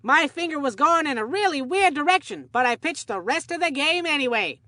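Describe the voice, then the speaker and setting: Bright high-pitched boy baseball commentator. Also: expressive, fairly quick, no echo. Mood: folksy reminiscing. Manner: folksy, earnest storytelling. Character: enthusiastic boy baseball commentator, chatty former little-league player. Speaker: sports commentator. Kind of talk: cartoon game sports commentary.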